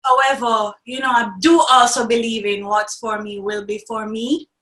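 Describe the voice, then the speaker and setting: A bright, fairly high voice from a young woman with a Jamaican accent. She talks animatedly and laughs often. The recording has a roomy echo. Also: level tone, normal speed. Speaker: actress, guest. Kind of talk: interview conversation. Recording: echoey room.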